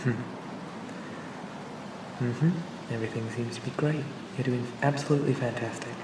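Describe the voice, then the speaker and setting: A calm and pleasant male AI companion acted by a man for an ASMR roleplay. He speaks gently and reassuringly in a narrow, even pitch. The recording sounds distant and echoey, with clear ambient noise behind him. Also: normal speed, natural voice. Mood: pleasant, encouraging. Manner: gentle, hesitant, reassuring, conversational. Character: charming, pleasant male AI personal assistant for a police officer.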